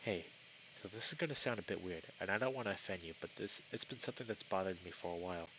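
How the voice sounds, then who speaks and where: A man voicing an earnest, gentle young male buck in a visual novel, speaking softly and hesitantly with concern. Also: low-pitched, fast talker, lo-fi sound, background noise, lightly acted. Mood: hushed concern. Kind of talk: visual novel character read aloud by a streamer. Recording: music behind the voice.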